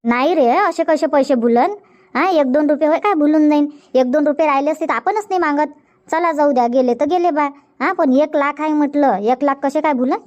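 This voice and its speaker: A woman's voice: high-pitched, a little fast, darkish tone, close to an everyday voice. A woman playing a shrewd, outspoken farmer's wife in a cartoon comedy, blunt and emphatic, with a Varhadi Marathi accent from Vidarbha. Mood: insistent. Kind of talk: dubbed cartoon comedy dialogue. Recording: dull lo-fi audio.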